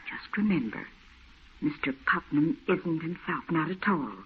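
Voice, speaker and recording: An older housekeeper, devoted and full of foreboding, in old-time radio drama. She talks deferentially and gravely. The recording is narrow and muffled, with faint noise behind it. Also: deep voice, lively intonation, a little slow. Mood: worried, guarded. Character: devoted, motherly older housekeeper, worried and guarded.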